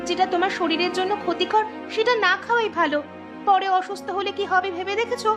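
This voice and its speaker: A woman voicing a caring young village daughter who coaxes and gently nags in an animated story. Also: extremely high pitch, fast talker, music in the background. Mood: worried, chiding. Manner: earnest, coaxing.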